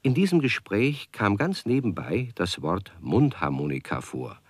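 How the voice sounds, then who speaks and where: A man narrating a children's radio play as a measured, expressive, slightly wry storyteller. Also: on the low side, slightly rough, dry recording, heavily acted.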